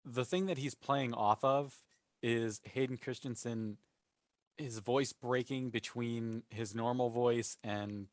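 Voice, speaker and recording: A man's voice: mid-range voice, dry recording, studio quality. A man speaking earnestly and emphatically as a panelist in a casual fan discussion. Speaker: podcast panelist.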